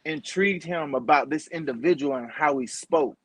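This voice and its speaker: A man's voice: fairly high pitch, moderate pace, fairly bright tone, husky, no echo. A man co-hosting a podcast in casual interview chat, emphatically rephrasing things for listeners. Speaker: podcast co-host.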